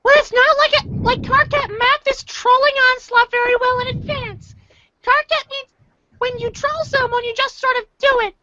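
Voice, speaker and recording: A woman's voice: very high-pitched, steady tone, normal speed. A woman voicing an irate, condescending, foul-mouthed young male alien troll in an amateur reader's theater, ranting in exasperation. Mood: exasperated ranting. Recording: dull lo-fi home recording, faint noise.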